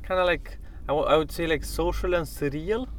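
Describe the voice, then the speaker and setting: A man hosting a casual podcast, who makes deadpan jokes and speaks with halting phrasing. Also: on the high side, slight background noise. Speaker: podcast host. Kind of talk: casual chat.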